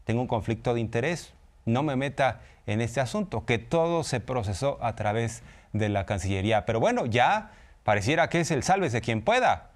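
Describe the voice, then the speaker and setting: A man's voice: medium pitch, lively intonation, moderate pace. A Mexican man presenting news commentary as a host, in a conversational style.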